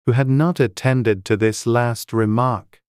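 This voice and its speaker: A woman narrates a storybook in an exceptionally low voice. It is scripted audiobook reading, recorded close and dry with no room sound. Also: fairly even intonation, measured pace, a little mellow, clean tone, no echo.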